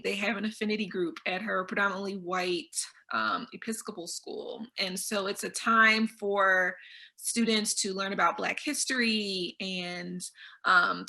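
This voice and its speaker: A middle-aged woman answers on a discussion panel, thoughtful and conversational. Her voice is bright and forward, with a gravelly fry running through it. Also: mid-range voice. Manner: thoughtful, conversational, frequent ums. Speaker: school counselor panelist.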